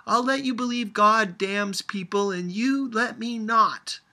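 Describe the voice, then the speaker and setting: Man putting on a feisty, high-pitched old grandmother. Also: measured pace, bright, forceful tone, exaggerated voice. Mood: heated, argumentative. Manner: emphatic, argumentative. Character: feisty, stubborn old grandmother, argumentative and mischievous. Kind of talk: storybook character voice.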